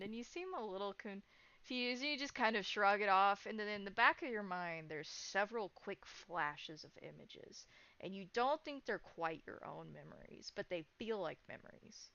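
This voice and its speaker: A woman running a horror tabletop game as game master, narrating in halting, descriptive phrases. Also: mid-range voice, lively intonation, bright, forceful tone, clean tone, no echo. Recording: dull, lo-fi sound.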